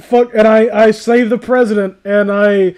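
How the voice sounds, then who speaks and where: A man's voice: sits high, a little slow, smooth. A man chatting casually and sarcastically, with plenty of swearing, as he hosts a comic fan fiction reading. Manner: animated, sarcastic, profane. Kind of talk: casual comedic chat and riffing.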